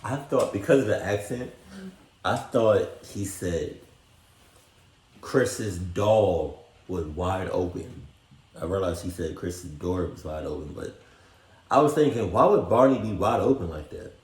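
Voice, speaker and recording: A man's voice: deep voice, a little slow, darkish tone. A man giving casual reaction commentary in slangy, profane, incredulous talk. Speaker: reaction video host. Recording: roomy echo, music behind.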